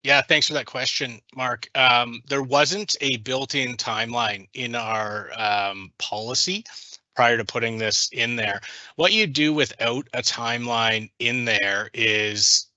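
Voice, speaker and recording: A man, a district administrator, explaining a policy in a committee meeting, measured and careful with frequent restarts. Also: medium pitch, steady tone, pushed and bright, no room sound, muffled recording.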